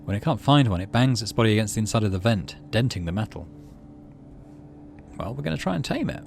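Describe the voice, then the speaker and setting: A man hosting a let's play, reading a story game aloud with expressive delivery and muttering asides about his moves. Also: fairly low pitch, quick pace, gravelly, over music.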